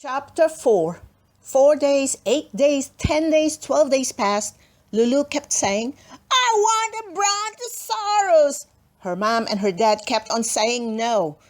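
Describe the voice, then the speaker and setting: A woman's voice: fairly high pitch, slow pace, darkish tone. A woman narrating a children's storybook expressively, with dramatic emphasis and playful asides. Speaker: storybook reader.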